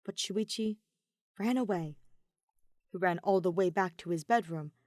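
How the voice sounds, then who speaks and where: A woman's voice: lowish voice, unhurried, darkish tone, no echo. Woman reading a dramatic story, dry recording. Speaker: podfic reader. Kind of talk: dramatic audiobook narration of fan fiction.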